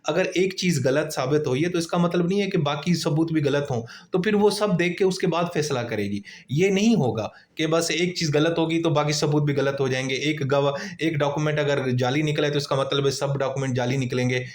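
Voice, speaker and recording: A man's voice: fairly high pitch, fairly quick, hardly any pauses. Pakistani man giving an informal law lecture. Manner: conversational, explains with everyday examples and acted-out questions.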